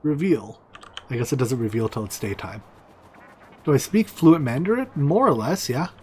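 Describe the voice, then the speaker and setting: A man whose first language is Chinese gives offhand commentary as he plays, muttering asides and breaking into excited outbursts. His pitch swings widely from low mumbles to high exclamations. A faint background hiss sits under the desk-mic sound. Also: moderate pace. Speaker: streamer. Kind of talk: casual gameplay commentary.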